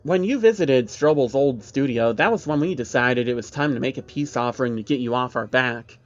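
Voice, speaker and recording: A man's voice: medium pitch, brisk, highs cut off, close to an everyday voice. A man voicing a guarded, evasive but firm woman from a secretive group in a narrated horror story. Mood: grudgingly apologetic.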